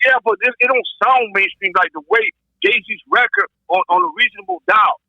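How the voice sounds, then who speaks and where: A man on a podcast panel with a raspy voice, arguing casually about music in an animated, slangy way. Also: very high voice, brisk, no room sound, poor audio. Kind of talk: casual debate about hip hop.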